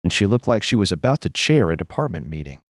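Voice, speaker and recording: A man's voice: deep voice, big pitch swings, fast talker, dry recording, clean studio sound. A man reading scripted first-person noir narration as the story's narrator.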